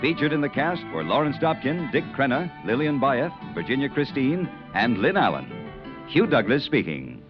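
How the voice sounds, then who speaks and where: A man's voice: medium pitch, sing-song, normal speed. A man reading scripted closing credits as a 1950s radio announcer. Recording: muffled vintage radio recording, guitar music.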